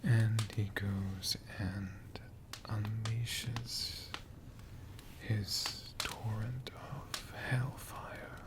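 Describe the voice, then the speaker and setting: A man in a friendly dragon persona, telling stories in a slow, hushed ASMR whisper with playful asides to the listener. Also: fairly low pitch, fairly even intonation.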